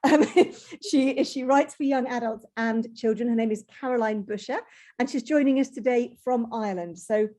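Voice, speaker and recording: A woman's voice: a little high, normal speed, warm tone. A woman hosting an interview, chatty and welcoming as she introduces and questions her guest. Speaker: host.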